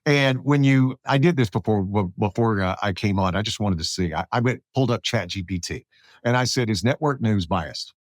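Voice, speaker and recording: An American man hosting a podcast, talking politics casually in a halting way, repeating words as he goes. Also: on the low side, no room sound, clean studio sound. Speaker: podcast host.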